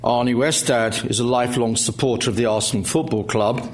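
A man's voice: medium pitch, brisk. Hesitant man chairing a lecture. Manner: hesitant, frequent uh fillers, wry humor. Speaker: event chair introducing a speaker. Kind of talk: formal introduction speech with jokes. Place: lecture hall with audience.

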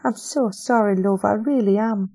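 Lady doing a soft sorry old matron, gentle, storybook read. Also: mid-range voice, moderate pace, vocal fry, voice pushed up. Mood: apologetic. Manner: soft, apologetic. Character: kindly older orphanage matron, apologetic.

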